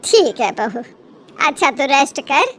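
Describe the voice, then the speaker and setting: High, bright elderly mother-in-law, acted by a woman. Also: animated, a little slow, a little hiss or hum, full character voice. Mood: sweetly caring. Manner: melodramatic, self-pitying, syrupy. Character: elderly mother-in-law, sweet to faces, complaining and martyred. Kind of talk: Hindi cartoon moral story acting.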